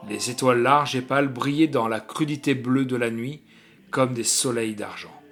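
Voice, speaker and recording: A man narrating a literary short story in an expressive, theatrical way with deliberate phrasing. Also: mid-range voice, faint music behind.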